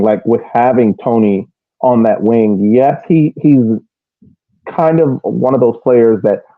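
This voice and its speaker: A man talks through a football match as a podcast co-host. His delivery is casual and detailed, with repeated phrases and restarts. The sound is narrow and phone-like, very dry, at a middling male pitch. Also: no room sound. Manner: halting, repeats and restarts phrases while building detailed points. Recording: thin phone-like band-limited audio.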